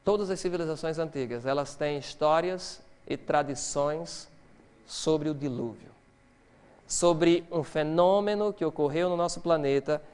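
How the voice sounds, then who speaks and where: A middle-aged man preaching a lecture-style sermon, animated, swinging between quiet explanation and loud excitement. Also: a little high, a little slow, smooth.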